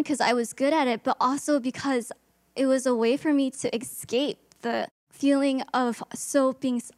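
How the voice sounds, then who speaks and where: A woman's voice: a little high, dry recording. Earnest young woman from Hong Kong. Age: young adult. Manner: earnest, reflective storytelling. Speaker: student. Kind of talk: personal testimony.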